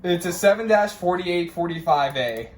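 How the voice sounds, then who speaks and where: A man's voice: sits high, expressive, moderate pace. A man hosting a casual, rambling unboxing video in an informal way. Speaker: video host. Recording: noisy home recording with clicks.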